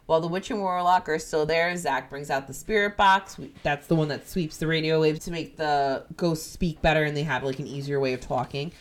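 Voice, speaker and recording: A woman with a New Jersey accent, hosting a podcast and retelling a ghost-hunting episode in animated, profane casual chat. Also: low-pitched. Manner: animated, profane, jumps into quoted voices. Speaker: podcast host.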